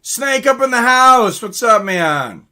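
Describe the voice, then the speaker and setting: A man's voice: very high-pitched, sing-song, slow, sharp tone. A man hosting a live stream, chatting casually and reading out comments from viewers.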